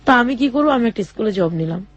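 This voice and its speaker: A young woman telling her own life story in an interview, speaking haltingly with many fillers and restarts. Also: on the high side, animated, muffled recording. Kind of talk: personal testimony in a radio interview.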